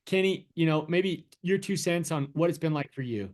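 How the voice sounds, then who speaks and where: A man hosting a business video call, talking in a quick upbeat way and repeating words as he hurries along. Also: a little high, darkish tone. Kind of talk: casual pitch on a video call.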